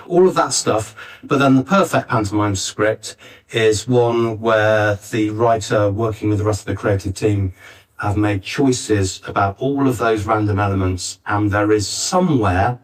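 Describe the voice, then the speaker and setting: Distant-sounding man in his late fifties. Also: mid-range voice. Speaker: panelist, playwright and theatre director. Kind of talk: informal live panel discussion. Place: arts centre theatre, live panel. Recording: distant, varying-quality backup live recording.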